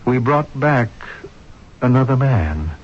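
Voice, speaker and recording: A grave, urgent male doctor with a husky voice and an authoritative, emphatic delivery. It is 1970s radio drama, heard through a muffled vintage broadcast. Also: mid-range voice, very animated, slow. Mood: grave, confiding.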